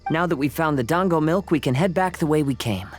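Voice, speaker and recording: Composed, courteous young wanderer, expressive. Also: male voice, a little high, faint music behind. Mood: courteous, gentle. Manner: polite, composed, measured phrasing. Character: calm, courteous young male wanderer, thoughtful and observant. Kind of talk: video game character acting.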